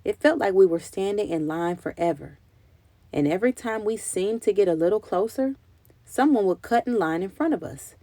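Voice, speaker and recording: A woman narrates a children's storybook with expressive, deliberate delivery. Her voice is relaxed and dark with a creaky edge, recorded dry and close. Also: mid-range voice, dry recording. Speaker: children's book reader.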